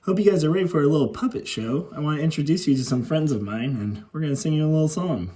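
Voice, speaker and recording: A man's voice: fairly high pitch, fast, mellow. A man, a preschool teacher, speaking as the host of a puppet show for young children.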